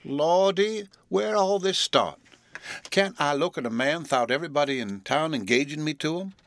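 A man voicing an affectionate, restless young woman from the South, with a Southern US drawl and an earnest, halting manner in a storybook reading. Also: a little high, animated, fairly bright tone, no room sound, voice pushed up. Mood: exasperated.

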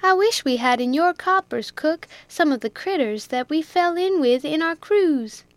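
A fiery sailor man storms and pleads in a voice pushed up high and strained, as a woman reader puts him on. The delivery is heated and earnest. It sounds dry and close, with no room around it. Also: expressive, moderate pace, no echo. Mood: breezy. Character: indignant male deputy steward, passionate.

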